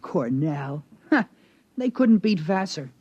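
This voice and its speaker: A woman's voice: sits low, sing-song, slow, mellow, breathy edge, no echo, full character voice. A woman playing a brash, slangy schoolboy in an old radio drama, breezy and mocking. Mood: breezy teasing. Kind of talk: 1950s radio drama acting. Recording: dull, dry vintage radio recording.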